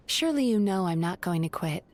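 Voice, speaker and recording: A woman voicing a composed, gentle therapist in an anime-style game, speaking politely and with measured calm. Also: fairly low pitch, slight background noise.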